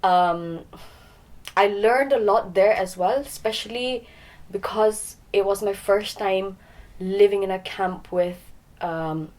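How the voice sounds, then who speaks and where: A woman's voice: mid-range voice, slow, darkish tone. A young woman from Nepal, a podcast guest, talking casually with frequent ums and some Nepali phrases mixed in. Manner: hesitant, many ums, mixes Nepali phrases. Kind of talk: casual interview talk.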